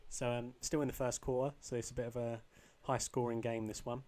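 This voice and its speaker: A young man with a slightly croaky voice, casually commentating his own football video game match, rambling with ums and restarts. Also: mid-range voice, little pitch movement, a little fast, mellow. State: a bit croaky. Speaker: gaming channel host.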